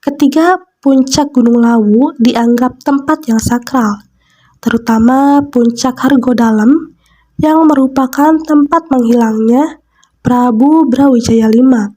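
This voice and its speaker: Slow nasal girl with a stuffy cold, mellow voice pitched a bit high, chatty while eating into a close mic. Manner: slow, soft, frequent "nah" fillers while eating. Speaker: mukbang vlogger. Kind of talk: casual mukbang chat and reading a horror story aloud. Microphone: close mic for eating sounds.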